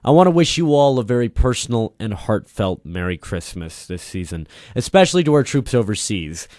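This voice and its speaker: A man's voice: lowish voice, sing-song, a little fast, darkish tone, no echo, studio quality. A man giving an earnest, formal radio show sign-off as its writer and director. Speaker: writer, director and lead actor, signing off.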